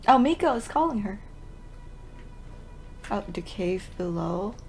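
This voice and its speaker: A woman's voice: medium pitch, animated, takes it slowly, breathy edge, faint music behind. A woman streaming a game with casual let's play commentary, musing aloud as she reacts to it.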